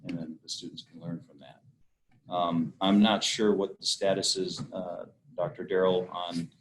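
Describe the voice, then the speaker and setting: A man's voice: low-pitched, level tone, normal speed, background noise. A man leading a casual meeting discussion, hesitant with many fillers. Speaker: meeting facilitator.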